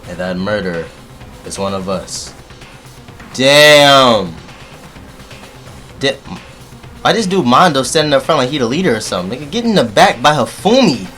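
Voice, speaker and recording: A man with a deep voice doing animated, joking let's play commentary and talking straight to his audience. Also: moderate pace, long pauses, soft background music. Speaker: gaming YouTuber.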